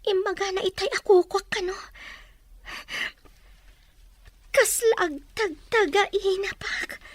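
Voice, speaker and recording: A woman's voice: very high voice, no echo. A Filipino woman playing a poor young woman, naive, wary and earnest, in an audio drama. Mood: amazed.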